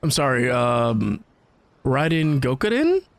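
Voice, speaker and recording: A man giving casual, expressive reaction commentary as he plays a video game. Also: medium pitch, slow pace. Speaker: gaming video creator. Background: game music.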